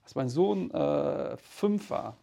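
A man's voice: on the high side, animated, slow, gravelly, mellow. A man, telling stories casually and candidly in colloquial language. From Cologne, Germany. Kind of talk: casual conversational storytelling.

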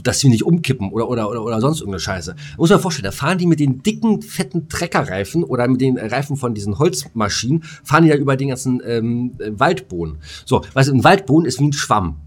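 A man's voice: medium pitch, big pitch swings, fast, few pauses. A man co-hosting a podcast in casual, colloquial banter, blunt and given to heavy swearing. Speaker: podcast co-host.

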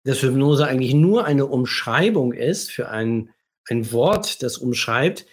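A man with a husky, scratchy voice that sounds a little under the weather. His pitch sits in the middle of the male range. He explains things like a therapist hosting a livestream, leaning emphatically on key phrases and asking rhetorical questions. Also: expressive, unhurried.

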